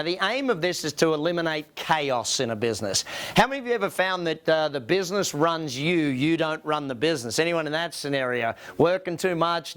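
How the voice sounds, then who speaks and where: A man's voice: fairly high pitch, a bit bright, clear, resonant tone. A man with an Australian accent speaking as a business coach to an audience in an emphatic, motivational seminar style, putting rhetorical questions to listeners. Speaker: business coach and company founder, presenting.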